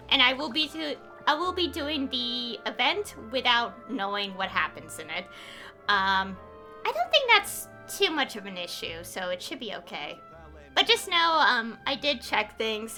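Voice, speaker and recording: A woman's voice: sits high, bright, forceful tone. A woman chatting casually and informally on a game livestream, rambling a little as she goes. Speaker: game streamer.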